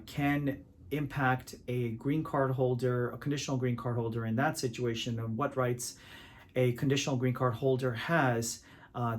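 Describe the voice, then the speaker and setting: A man from Pakistan works as an immigration attorney and explains the law in a measured, deliberate talk to camera. He pauses often on filler sounds as he lays out each point. His voice sits at an ordinary middle pitch.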